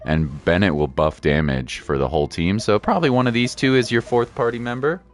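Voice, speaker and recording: Deep-voiced man chatting on a game stream. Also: brisk. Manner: repeats points for emphasis, slangy, reassuring. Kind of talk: casual livestream chat with viewers.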